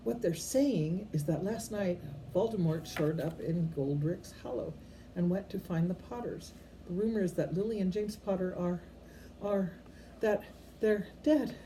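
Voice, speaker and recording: Woman voicing a stern, anxious witch professor, mellow toned. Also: fairly low pitch, a little slow, a little hiss or hum, voice pushed up, rough recording. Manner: emphatic, exasperated.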